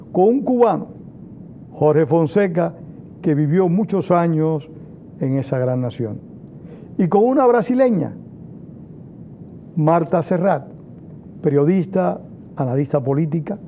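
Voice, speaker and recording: A man hosting a TV interview program in Spanish, speaking in a measured, formal way. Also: fairly high pitch, lively intonation, mellow. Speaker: TV interview host. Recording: tinny, phone-like sound with faint noise.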